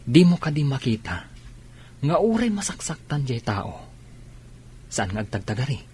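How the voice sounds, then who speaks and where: A man's voice: medium pitch, big pitch swings, normal speed, darkish tone, breathy edge. A man acting as a concerned, probing friend in a radio drama, conversational and questioning. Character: concerned, probing male friend giving advice.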